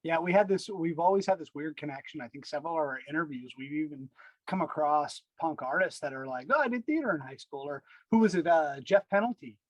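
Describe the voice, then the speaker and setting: A man's voice: a little high, a little fast, dry recording, highs cut off. A man hosting a casual interview and asking questions in an easygoing way. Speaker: podcast host.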